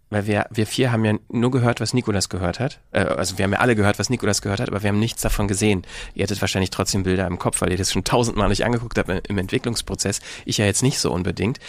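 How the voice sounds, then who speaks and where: A man with a creaky, fairly low voice hosts a casual discussion. He feels his way into questions, thinking aloud. The recording is close and dry. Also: no echo. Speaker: host, interviewer.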